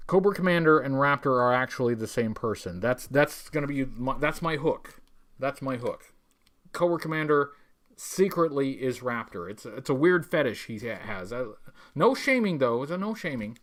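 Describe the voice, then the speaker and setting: A man hosting a casual livestream, talking in a rambling, halting, stop-start way with a wry, tongue-in-cheek manner as he chats with viewers. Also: mid-range voice, lively intonation, a bit bright. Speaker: livestream host. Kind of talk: casual livestream chat reading viewer messages.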